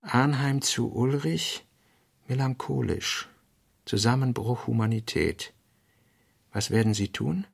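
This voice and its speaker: Brooding guy thinking thru his draft notes for a radio play, gravelly creaky voice, halting n pensive, bits get emphatic. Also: mid-range voice, heavily acted. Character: brooding male literary voice thinking through sketched notes. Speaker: radio drama actor. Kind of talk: literary radio play, reading an author's fragmentary notes and drafts.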